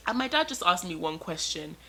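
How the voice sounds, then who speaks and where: Young woman vlogger, storytime narration, animated and dramatic, bright, slightly low voice. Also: fairly quick.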